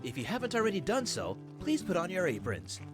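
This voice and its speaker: An enthusiastic young student cooking instructor in a visual novel game. His delivery swings extremely widely in pitch as he gives directions. Clear music plays behind him. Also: wildly swooping pitch, brisk. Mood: enthusiastic, instructive.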